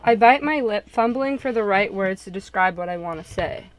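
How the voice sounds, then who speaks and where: A woman's voice: medium pitch, lively intonation, normal speed, close to an everyday voice. Woman reading as earnest visual novel narrator. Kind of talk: expressive storybook-like narration.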